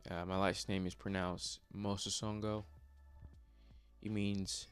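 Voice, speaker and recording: A man's voice: lowish voice, little pitch movement, slow, clean tone, faint music behind. A young man from Congo, whose first language is not English, speaking in a motivational personal vlog, earnest and hesitant with many fillers and restarts. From Democratic Republic of the Congo. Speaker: vlogger.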